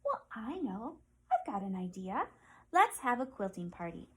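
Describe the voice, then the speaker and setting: A woman voicing a kind old woman sewing a quilt, with a high, fluttery, exclaiming manner. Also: sing-song, a little slow, breathy edge. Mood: cheerful.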